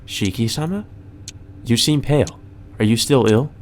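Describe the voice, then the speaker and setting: A man's voice: mid-range voice, moderate pace, a little mellow, close to an everyday voice. Man reading as a reserved, formal young maid. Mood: quiet concern. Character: subdued, formal, dutiful young maid woman. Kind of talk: visual novel read aloud. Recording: music under the voice.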